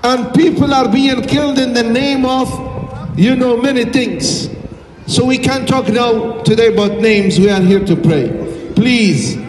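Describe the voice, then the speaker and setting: High-voiced imam preaching over an outdoor PA. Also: male voice, measured pace, noisy background. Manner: urgent, preacherly, rises to shouts. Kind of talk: impassioned vigil speech. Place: crowded outdoor city square. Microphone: handheld mic through a PA, recorded from a phone in the crowd. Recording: echoey PA sound from a distance, crowd murmur.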